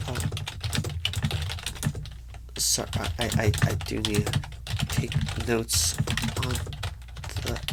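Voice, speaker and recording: A woman playing a nervous, inexperienced job recruiter in an ASMR roleplay, halting, stammering and apologetic. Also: extremely low pitch, very noisy, heavily acted. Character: painfully shy, nervous, inexperienced job recruiter on first day. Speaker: job interviewer. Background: computer keyboard typing.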